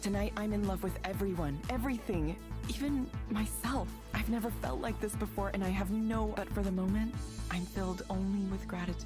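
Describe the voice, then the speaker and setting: A woman's voice: mid-range voice, natural voice. An actress playing an earnest, unsure young woman in a naturalistic visual novel style, speaking sincerely. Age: young adult.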